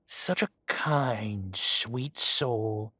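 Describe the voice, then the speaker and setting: Man playing a slow, husky dragon boss. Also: on the low side, pushed and bright, no echo, studio quality, heavily acted. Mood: silky menace. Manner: slow, purring, mocking menace. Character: menacing male dragon posing as a mafia boss. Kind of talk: roleplay audio drama.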